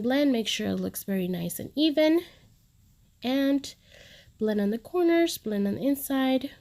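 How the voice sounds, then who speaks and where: A woman's voice: mid-range voice, warm tone. Woman with a stuffy, cold-clogged nose. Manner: step-by-step instructive, casual. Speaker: makeup artist. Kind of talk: voice-over makeup tutorial. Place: home studio, recorded separately as voice-over.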